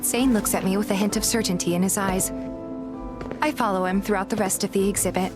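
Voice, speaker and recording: A woman playing a curious, polite young game heroine, narrating in the first person and speaking her dialogue in an earnest, gentle manner. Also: medium pitch, fairly even intonation, a little fast, music in the background, lightly acted.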